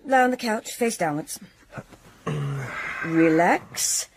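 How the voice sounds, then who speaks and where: An actress playing a no-nonsense osteopath in a radio drama, curt and commanding. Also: female voice, medium pitch, expressive, slow pace, a little hiss or hum. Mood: brisk, commanding. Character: brisk, no-nonsense young female osteopath, bossy.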